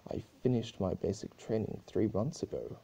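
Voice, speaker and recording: A man's voice: on the low side, lively intonation, moderate pace, vocal fry, mellow, husky, heavily acted. A man voicing a nervous young male pony guard who stammers and pleads deferentially in a story reading.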